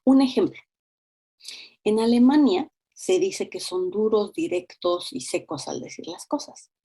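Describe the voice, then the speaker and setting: A middle-aged woman with a Mexican accent, explaining things as an interview guest in an expressive, conversational way, acting out examples. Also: mid-range voice, unhurried, breathy edge.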